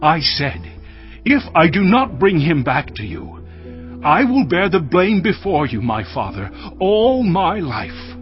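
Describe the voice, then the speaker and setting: A man's voice: on the high side, very animated, slow, muffled recording, soft background music. A man playing a humble, anguished brother pleading for mercy, earnest and deferential, in a dramatized audio Bible.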